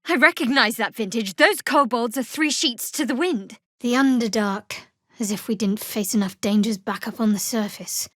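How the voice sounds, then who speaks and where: A young female cleric sworn to a dark goddess in a fantasy video game. She speaks in a slightly raised feminine register, dry and guarded, with a sardonic edge and clipped phrasing. The sound is studio-dry, with no room around it. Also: a little high, moderate pace, no room sound. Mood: wry, watchful. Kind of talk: video game character dialogue.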